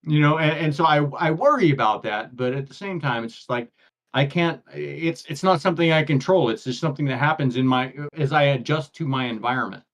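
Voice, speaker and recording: A man's voice: fairly high pitch, brisk, fairly bright tone. An older man with grandkids, co-hosting a casual podcast chat and rambling on, often stumbling and repeating words before he gets a thought out. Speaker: podcast co-host. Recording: dull lo-fi audio.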